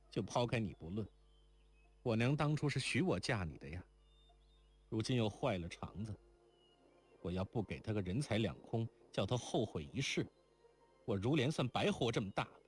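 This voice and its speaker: A male reader voicing a heartbroken young woman in tears, by turns pleading and sharp-tongued, in a storybook reading. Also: lowish voice, breathy edge.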